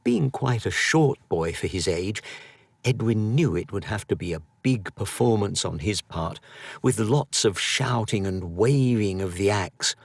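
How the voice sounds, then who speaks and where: A man narrating a children's story expressively, with dramatic, drawn-out emphasis. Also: fairly low pitch, expressive, vocal fry, no echo. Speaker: audiobook narrator.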